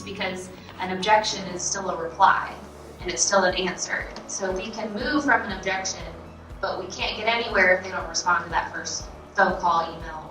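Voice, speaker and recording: An American woman giving practical explanations of her work practice in a group discussion. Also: medium pitch. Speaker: car dealership employee, workshop participant. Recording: echoey room, distant mic, background music.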